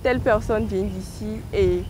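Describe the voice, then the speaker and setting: Woman, gentle and earnest, vox pop over street noise. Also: mid-range voice, a little slow. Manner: gentle, earnest, with small hesitations. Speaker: street interviewee. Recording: street interview with background noise.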